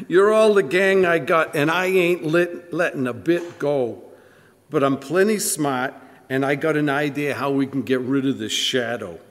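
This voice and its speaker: A man's voice: on the high side, unhurried, lightly acted. Scheming small-time gangster, male actor, tough-guy slang, live radio drama in a reverberant hall. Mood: defiant, scornful.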